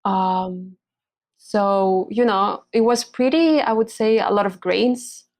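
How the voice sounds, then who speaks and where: A young woman from Spain speaks as a guest in a relaxed interview, her voice at a middle pitch with a gravelly, creaky texture. She hesitates often, filling gaps with ums and likes and restarting her sentences. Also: slow. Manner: hesitant, many fillers and restarts, laughs often. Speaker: hormonal and pregnancy coach, podcast guest. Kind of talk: casual interview chat.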